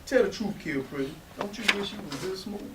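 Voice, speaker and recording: A man's voice: high voice, expressive, fairly quick. A young man playing a brash, swaggering older brother in a staged play reading. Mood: cocky, playful. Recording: faint hiss, live room recording.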